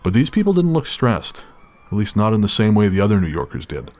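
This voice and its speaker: Low-voiced male horror story narrator. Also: very animated, fairly quick, darkish tone. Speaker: narrator of an audio drama. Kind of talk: scripted dramatic story narration. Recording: city street sounds behind narration. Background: traffic and street ambience.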